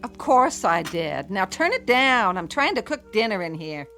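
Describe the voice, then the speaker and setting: An actress playing an exasperated, sharp-tongued housewife who scolds her husband in a radio drama. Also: mid-range voice, big pitch swings, moderate pace, faint music behind. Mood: snappish. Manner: snappish, scolding.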